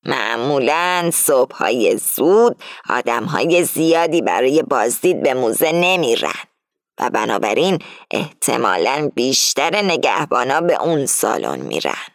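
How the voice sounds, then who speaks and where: A woman reading as an older female gang leader who lays out a heist plan firmly and deliberately. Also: rumbling low, sing-song, dry recording, clean studio sound, pitched below the performer's own voice, heavily acted. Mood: scheming, instructing. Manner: firm, explaining slowly and deliberately.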